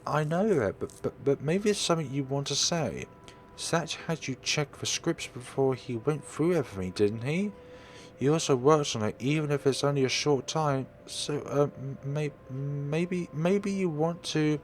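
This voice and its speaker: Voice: mid-range voice, normal speed, slight background noise, pitched above the performer's own voice, close to an everyday voice. A man voicing a chatty, earnest young woman in a visual novel, babbling eagerly and hesitantly.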